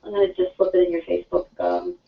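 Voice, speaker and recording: A woman's voice: fairly low pitch, sing-song, fast talker, recorded from a distance. A woman in her fifties calling in, chatting eagerly with lots of fillers and laughter. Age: 50s. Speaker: caller. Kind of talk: casual chat. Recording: dull roomy audio from afar.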